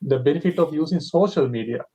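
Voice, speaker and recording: A man hosting an online session, walking viewers through steps with lots of fillers and pauses. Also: on the high side, mellow, husky. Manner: hesitant, many fillers. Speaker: host. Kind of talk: webinar moderation.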